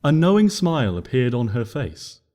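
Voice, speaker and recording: Calm man reading a storybook. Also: mid-range voice, moderate pace. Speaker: story reader. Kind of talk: storybook narration. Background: soft music.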